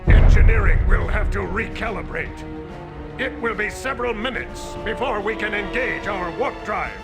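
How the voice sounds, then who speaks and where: An actor playing a dutiful Klingon starship officer giving formal status reports in a video game cutscene. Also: male voice, sits high, moderate pace, vocal fry, over loud music. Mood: formal, tense.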